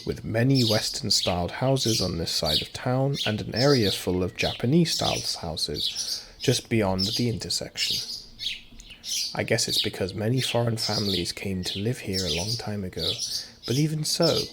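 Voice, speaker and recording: A man's voice: on the low side, animated, normal speed, heavy background noise. A man streaming a visual novel, reading its text aloud in a casual, stumbling way and commenting between lines. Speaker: let's play streamer. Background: loud game music.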